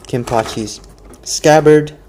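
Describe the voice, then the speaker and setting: A man's voice: a little high, slow pace, noisy background. A man casually explaining his custom figure work step by step, with occasional fillers. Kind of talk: casual product walkthrough.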